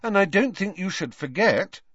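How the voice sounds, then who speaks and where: A bossy, clever teenage girl witch from a storybook, played by a male reader. The speech is precise and sceptical with a lot of pitch movement, recorded dry and a little dull. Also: animated, normal speed, fairly bright tone, breathy edge, no room sound, heavily acted. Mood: stern. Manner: precise, questioning, admonishing.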